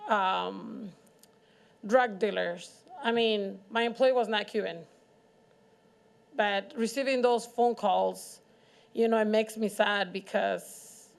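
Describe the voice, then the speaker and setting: A woman with a Mexican accent sharing her own experiences at a community forum, haltingly and in search of words. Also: mid-range voice, creaky, clean tone. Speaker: community panelist, business owner. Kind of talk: personal testimony at a community forum.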